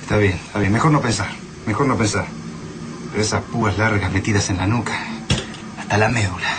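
A man's voice: fairly low pitch, brisk, very noisy, lightly acted. An actor playing an anxious man on the run in a radio drama, uneasy and urgent, with an Argentine accent. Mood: anxious, brooding. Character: Paco, anxious man fleeing through a deserted city.

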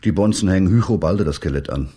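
Male police detective, crime radio play: weary cynic, gruff, rough low bass. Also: expressive, fast, dark tone. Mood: cynical, resentful. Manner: gruff, sardonic. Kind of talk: naturalistic radio play acting.

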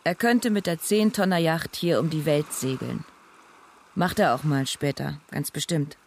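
A woman's voice: low voice, a little slow, creaky, slight background noise. An actress playing an impressionable, wistful woman speaking her thoughts in a literary radio drama. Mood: admiring.

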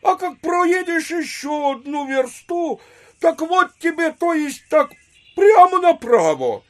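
A man's voice: very high voice, very animated, slow pace, full character voice. An actor playing a muddled, pedantic Russian peasant in an audio drama, rambling and repeating himself as he explains. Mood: pedantic, explaining. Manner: rambling, repetitive, self-correcting. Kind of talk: theatrical audio drama acting.

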